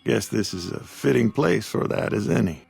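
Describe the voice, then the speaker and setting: A man's voice: lowish voice, lively intonation, normal speed, a little mellow, no room sound, faint music behind, heavily acted. A man voicing a weary old frontier shopkeeper who talks in a drawl. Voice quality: gravelly drawl. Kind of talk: video game dialogue.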